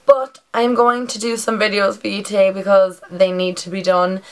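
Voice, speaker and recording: A young Irish woman reviews a product straight to camera, her voice a little hoarse from a sore throat. She rambles in a friendly, chatty way, with plenty of "like" and "um". She is recorded on a camera mic in her bedroom. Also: medium pitch, level tone, moderate pace. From Ireland. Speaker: beauty vlogger. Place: bedroom indoors.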